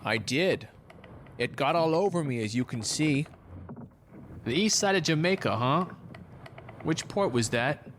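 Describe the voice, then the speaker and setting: A cheeky young-at-heart sailor played by a man in an audio drama, with a slightly high, very animated voice. He talks in an offhand, casual way over faint background noise. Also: a little slow, pauses often. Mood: offhand, skeptical. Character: cheeky, casual male sailor needling his superior.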